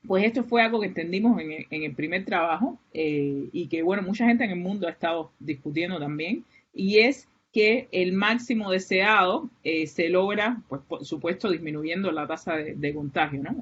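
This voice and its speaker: A woman's voice: fairly low pitch, moderate pace, slightly rough. A woman from Cuba with a Cuban Spanish accent, giving an academic seminar with many fillers and restarts. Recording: muffled, lo-fi online lecture audio.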